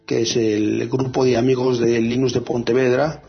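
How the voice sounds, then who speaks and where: A man with a gravelly, husky voice talks casually on a radio show about free software. He hesitates often, filling gaps and restarting his sentences. The recording is dull and lo-fi. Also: mid-range voice, little pitch movement, normal speed. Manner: hesitant, many fillers and restarts.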